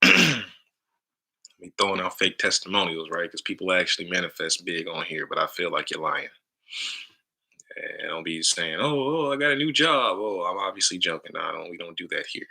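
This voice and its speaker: Deep-voiced man leading a live mantra stream. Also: pushed and bright. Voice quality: deep baritone. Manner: loose, repeats "right?" often. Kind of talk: casual live stream talk with chanting.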